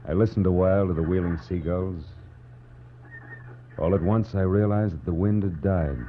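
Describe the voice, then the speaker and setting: Man acting a low-voiced hard-boiled American private eye. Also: steady tone, normal speed, natural voice. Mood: somber, weary. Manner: terse, world-weary, dramatic delivery. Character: cynical hard-boiled male private detective narrating his case. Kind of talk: 1940s radio noir narration. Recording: muffled, phone-like 1940s radio recording with music. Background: dramatic music.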